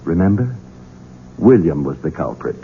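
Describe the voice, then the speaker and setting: An actor playing a coldly controlling heir in his thirties in a 1970s radio drama, taunting and deliberate, with insinuating menace. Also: deep voice, big pitch swings, creaky, heavily acted. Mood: controlled, insistent. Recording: muffled, noisy 1970s radio recording.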